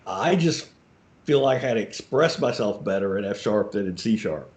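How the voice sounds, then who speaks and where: A man's voice: lowish voice, expressive, moderate pace, darkish tone. A middle-aged man talking casually and hesitantly, often trailing off and starting over. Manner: hesitant, with many fillers and self-restarts. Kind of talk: casual discussion. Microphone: video call. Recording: roomy, dull video call audio.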